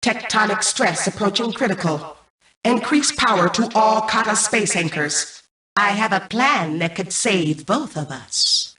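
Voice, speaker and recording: A woman voicing a cold, arrogant mainframe intelligence in a video game, speaking in a formal, measured, commanding way. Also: medium pitch, lively intonation, pushed and bright, soft background music. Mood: formal, detached. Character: cold, arrogant female mainframe artificial intelligence, calculating and imperious. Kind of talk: scripted video game voice acting.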